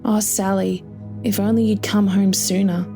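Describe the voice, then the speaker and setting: A woman's voice: mid-range voice, little pitch movement, normal speed, dark tone, soft background music, natural voice. A woman voicing a grieving, hurt woman pleading with her sister in an audio story. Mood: sorrowful. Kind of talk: audio story character dialogue.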